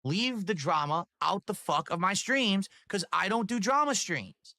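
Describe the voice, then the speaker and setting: Bright, angry ranting man streamer. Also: high voice, expressive, unhurried, no room sound, clean studio sound. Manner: defensive, angry and profane rant. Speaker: gaming streamer. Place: home office. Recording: clip played from a live stream.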